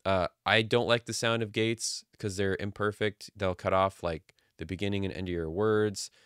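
A man in his thirties casually walking through his microphone filter settings from a small quiet home studio, relaxed and jokey. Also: on the low side, normal speed, clean tone, no echo. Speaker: streaming audio tutorial host. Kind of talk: casual screen-share tutorial. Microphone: studio dynamic mic on boom arm.

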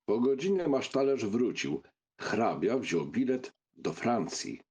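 A man's voice: mid-range voice, sing-song, slow, darkish tone, husky. A man narrating a novel as a scripted audiobook reading.

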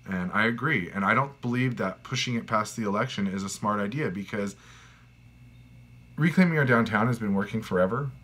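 A man's voice: lowish voice, fairly bright tone. A man in his thirties from California, a city council candidate talking earnestly to camera at home in a campaign vlog, with frequent restarts and fillers. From California, USA. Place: bedroom at home, indoors.

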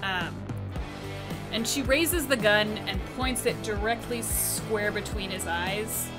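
A woman playing a tabletop roleplaying game, narrating her character with measured, deliberate phrasing. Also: medium pitch, low-quality recording. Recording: loud music behind the voice.

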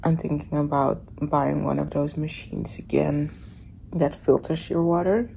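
A woman vlogging casually from a hotel room in the morning, her voice raspy and often dropping to a whisper. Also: sits low, fairly even intonation, a little slow, slight background noise, rough recording. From Netherlands. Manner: soft, often whispering in the dark.

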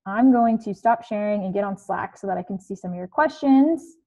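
Young female career coach on a home video call, relaxed tone, dull lo-fi sound. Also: mid-range voice, fairly quick. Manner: chatty, many fillers like "like" and "um". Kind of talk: casual online presentation. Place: home living room. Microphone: earbud headset on video call.

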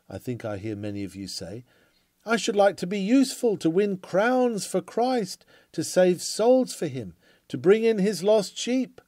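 A man's voice: on the high side, warm tone, no echo, studio quality. A man reading an old-fashioned sermon aloud in an earnest, oratorical preaching manner. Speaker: sermon reader. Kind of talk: dramatic read-aloud of a Victorian sermon.

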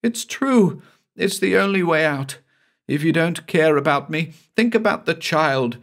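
A desperate young woman begs in a high, frantic voice, as a male narrator puts her on. Her pleas come out strained and pitched far above his usual register, tense and urgent. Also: expressive, unhurried, fairly bright tone. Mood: pleading desperately.

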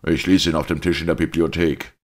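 A man voicing a defiant, carefree army captain who talks excitedly. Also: extremely low pitch, brisk, pitched above the performer's own voice. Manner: excited, careless.

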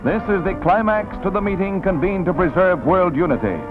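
Male American newsreel narrator, 1940s: dramatic, higher-pitched, swooping delivery over music on a tinny old soundtrack. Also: lively intonation, a little fast. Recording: tinny vintage film soundtrack, music behind.

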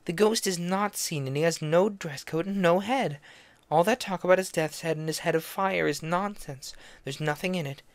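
Reader doing a timid young ballet girl, gossipy and scared, pitched high and breathless, pleading. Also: normal speed, dry recording, natural voice. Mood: secretive. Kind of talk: audiobook character voice.

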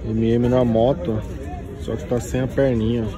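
A man's voice: mid-range voice, level tone, unhurried, a crowd in the background, poor audio. A young man casually showing off toys while walking through an outdoor flea market, filming on a handheld phone and pointing things out constantly. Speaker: toy collector vlogger. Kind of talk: casual walk-through commentary. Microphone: handheld phone camera.

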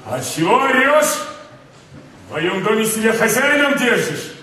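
A man's voice: sits high, big pitch swings, a little slow, sharp tone, heavily acted. A man playing a troubled merchant father, master of his house, in a children's stage play, querulous and theatrical. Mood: reproachful. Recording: echoey theatre, distant mic, faint noise.

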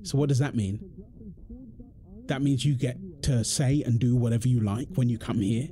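A man's voice: medium pitch, fairly even intonation, moderate pace, warm tone, natural voice. A British man acting an exasperated, protective husband in a confrontational audio roleplay drama, speaking emphatically. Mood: angry, fed up. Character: exasperated, protective husband arguing with his overbearing mother-in-law. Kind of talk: ASMR roleplay drama monologue.

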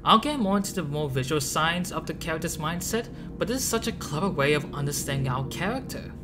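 A narrator reading a scripted video essay about a game in a measured, explanatory way. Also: medium pitch, animated, noisy background. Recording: loud background music. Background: loud music.

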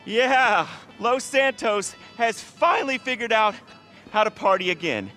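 An actor playing a desperate, boastful wannabe celebrity DJ in a video game, babbling and bragging in a needy way. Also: male voice, high voice, expressive, unhurried, sharp tone, soft background music, full character voice. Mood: hyped announcing. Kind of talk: video game cutscene acting.

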